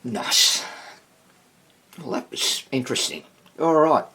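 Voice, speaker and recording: Man, animated pitch, some gravel, rambling hands-on commentary, unscripted, hobbyist repairer. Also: takes it slowly, a little hiss or hum. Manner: rambling, thinking aloud while working. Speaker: hobbyist radio restorer presenting own repair. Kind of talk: casual unscripted workbench commentary. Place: indoor workbench.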